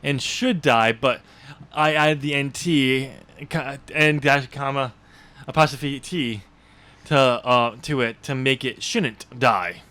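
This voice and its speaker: A man hosting a podcast in casual chat, self-mocking and halting as he talks. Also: mid-range voice, sharp tone, clean tone. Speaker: podcast host, author.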